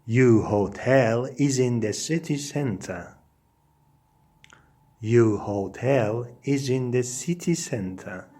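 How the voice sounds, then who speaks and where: Male language teacher for phrase drills, extremely slow delivery, lively intonation, deliberate and separated phrasing. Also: mid-range voice. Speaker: English teacher. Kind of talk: English lesson, reading short phrases aloud with repetition.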